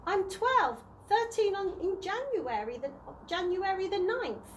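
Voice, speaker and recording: A woman's voice: very high-pitched, animated, unhurried, far from the mic, slight background noise, pitched above the performer's own voice. A woman voicing a timid, earnest twelve-year-old girl in a children's storybook, polite and hesitant. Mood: earnest, curious. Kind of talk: children's storybook read-aloud.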